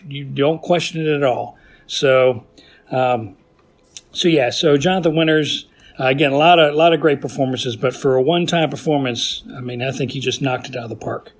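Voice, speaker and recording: A man giving casual, enthusiastic spoken commentary on classic TV in a halting, repetitive way, as listener feedback for a podcast. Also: on the high side.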